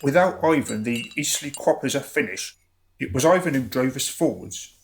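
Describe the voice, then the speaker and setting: Man playing a creaky-voiced, hapless loyal sidekick. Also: medium pitch, animated, normal speed, slight background noise, close to an everyday voice. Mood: downcast. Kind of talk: radio drama acting.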